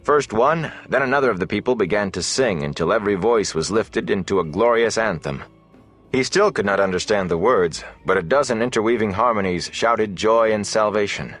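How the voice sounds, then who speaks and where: Low-voiced man narrating fantasy over music. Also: expressive, a bit bright. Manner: measured, deliberate storytelling delivery. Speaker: audiobook narrator. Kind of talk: scripted dramatic fantasy narration.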